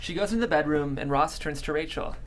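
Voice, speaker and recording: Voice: medium pitch, fast talker, a bit bright, slight background noise. A narrator reading a screenplay's stage directions aloud at a table read.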